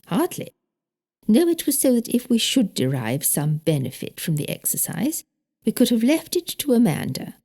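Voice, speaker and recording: A woman's voice: a little high, wildly swooping pitch, normal speed, warm tone, voice pushed up, exaggerated voice. A woman voicing a prim, indignant older married lady with a British accent, emphatic and precise, in an audiobook. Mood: indignant, disdainful. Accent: British English. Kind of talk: audiobook character dialogue.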